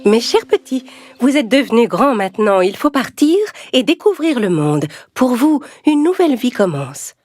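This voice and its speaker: A woman voicing a caring mother pig who gently advises her young in a children's story. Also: a little high, big pitch swings, normal speed, no echo, voice pushed up. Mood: tender, advising. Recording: music behind the voice.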